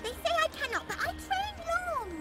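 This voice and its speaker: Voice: very high voice, sing-song, normal speed. An actor voicing a tiny, childlike, plucky creature in a video game, speaking in broken grammar. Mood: plucky, boastful. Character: tiny childlike lizard creature dreaming of being guardian. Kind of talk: video game character acting. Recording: music behind.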